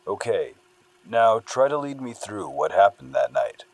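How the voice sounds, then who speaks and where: An actor plays a stern police interviewer in an audio drama. The pitch moves widely around a middling register, and the sound is close and dry. The manner is measured, official and probing. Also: very animated, gravelly, darkish tone, dry recording, exaggerated voice, rough recording. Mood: calm, procedural. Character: stern police interviewer, patient then scornful, taking a statement. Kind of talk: dramatic audio fiction acting.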